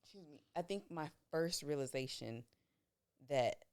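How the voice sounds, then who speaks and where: A young woman, talking casually with her best friend on a podcast, her low voice smooth and clear. She tells her memories in an animated way and rises sharply in pitch when she quotes herself. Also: measured pace, dry recording, clean studio sound. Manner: animated storyteller, jumps high when quoting herself. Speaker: podcast co-host.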